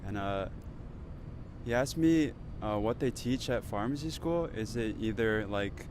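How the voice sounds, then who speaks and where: A young American man with a fairly low voice talks casually as a student being interviewed. He is outdoors, with clear traffic noise around him. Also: expressive, unhurried. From United States. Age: 20s. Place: outdoors on a university campus.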